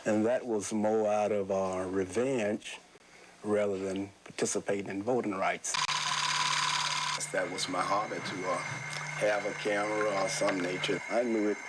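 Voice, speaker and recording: An older man, reminiscing in a measured, halting way in a documentary interview. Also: on the low side, flat delivery. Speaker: interview subject, former teacher. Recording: clearly noisy background.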